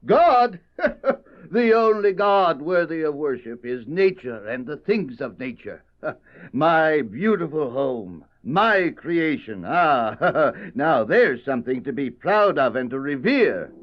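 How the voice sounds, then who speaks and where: A man's voice: fairly high pitch, sing-song, slow pace. A man playing a vain, greedy rich villain in an audio drama, boastful, scoffing and theatrical. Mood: scornful. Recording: dull old cassette, faint music.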